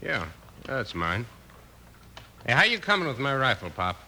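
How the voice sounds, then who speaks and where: A man's voice: medium pitch, very animated, moderate pace, sharp tone, exaggerated voice. A man playing a curt, shifty outlaw who poses as a cattle buyer, brusque and clipped, in a 1950s radio Western. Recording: old radio broadcast, faint noise.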